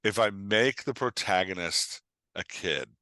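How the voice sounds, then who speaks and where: Male author-coach, middle-aged. Bright, forceful, lowish voice, dry close sound. Emphatic conversational explainer. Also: a little slow, no room sound. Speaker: author and writing coach, podcast guest. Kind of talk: animated conversational explanation and storytelling.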